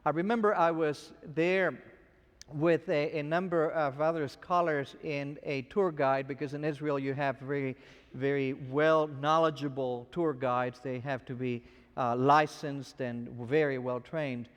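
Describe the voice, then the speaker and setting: A man's voice: fairly high pitch, fairly even intonation, unhurried, clear, resonant tone, long reverb tail. A man from Argentina, a scholar guest, telling chatty stories with frequent restarts. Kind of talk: anecdotal lecture.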